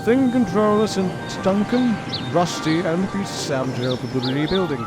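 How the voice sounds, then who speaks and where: Male storyteller, fairly high voice, scripted narration, audio drama, clear background music. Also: normal speed. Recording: music under the voice.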